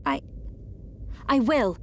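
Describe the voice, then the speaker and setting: A young crown princess in a video game cutscene. Her voice is somewhat high. She speaks formally and modestly. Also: sing-song, extremely slow, a little mellow, a little hiss or hum, heavily acted. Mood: humble.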